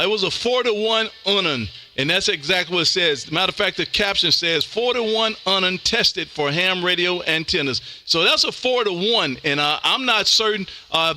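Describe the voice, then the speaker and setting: A high-pitched American man with a very bright, forceful voice chats casually as a ham radio host. His talk is folksy and full of radio jargon. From Texas, United States. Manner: folksy, says "man" often, radio call-sign jargon. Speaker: amateur radio livestream host.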